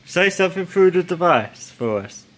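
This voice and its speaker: A man investigating an abandoned farm at night, calmly asking spirits to speak to him. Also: high voice, moderate pace. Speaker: paranormal investigator. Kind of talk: calling out to spirits. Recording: noisy outdoor recording.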